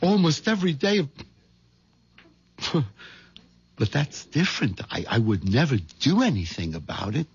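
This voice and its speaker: An actor playing a mild, hen-pecked middle-aged bank clerk turned nervous plotter, stammering and hesitant, in 1970s radio drama style. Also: male voice, a little high, very animated, normal speed, pauses often, husky, full character voice. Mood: flustered, nervous. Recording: dull lo-fi 1970s radio recording.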